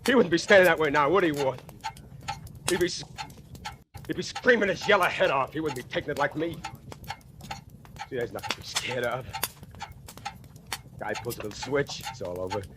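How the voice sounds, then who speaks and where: A man's voice: a little high, animated, moderate pace, long pauses, lightly acted. An actor playing a condemned male convict in a 1940s radio drama, whose swaggering bravado cracks into frantic pleading, on a noisy old broadcast recording. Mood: bitter bravado. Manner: boastful bravado breaking into pleading terror. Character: panicking condemned male convict facing execution.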